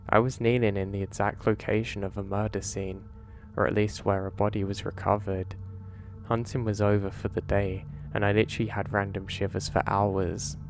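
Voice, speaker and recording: Low-voiced man narrating horror stories. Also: creaky, noisy background. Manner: calm, measured storytelling delivery. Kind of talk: scripted narration of submitted stories.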